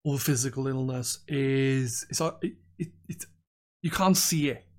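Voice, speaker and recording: A man's voice: medium pitch, a little slow. A young man with a Welsh accent co-hosting a film podcast, chatting casually, rambling and laughing often. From Wales. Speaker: podcast host. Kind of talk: casual chat.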